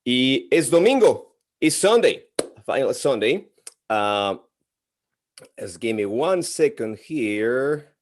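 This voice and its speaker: A man from Mexico City with a Mexican Spanish accent hosting an interview in halting English, often restarting his sentences. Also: mid-range voice, big pitch swings, a little hiss or hum. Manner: halting, with many restarts and filler sounds. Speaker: interview host. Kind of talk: casual interview.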